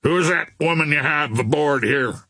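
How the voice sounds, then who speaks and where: A man voicing a menacing, uneducated pirate skipper in an audiobook, rough and commanding. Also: a little high, moderate pace, bright, forceful tone, no room sound, voice pushed up.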